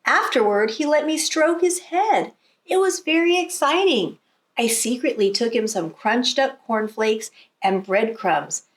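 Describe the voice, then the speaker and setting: Eager, earnest little girl for a storybook, played by a woman; high, very animated delivery. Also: measured pace. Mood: proud, excited. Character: eager little girl writing about her whale. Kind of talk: storybook read-aloud.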